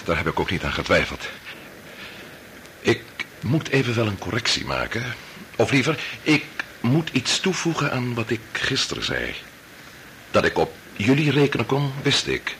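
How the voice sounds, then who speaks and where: An actor playing an authoritative older doctor and father, measured and formal, with a Dutch accent in a radio drama. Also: mid-range voice, very animated, a bit bright. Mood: formal, resolute. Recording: noisy old radio drama recording.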